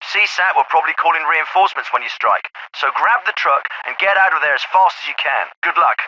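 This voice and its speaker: A man's voice: fairly high pitch, lively intonation, brisk, pushed and bright, no room sound, dull audio, exaggerated voice. A man with a British accent voicing a terse, calculating special forces officer in a video game, clipped and authoritative, through a radio filter. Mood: firm, instructive. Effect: radio filter on many lines. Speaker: squad commander giving orders.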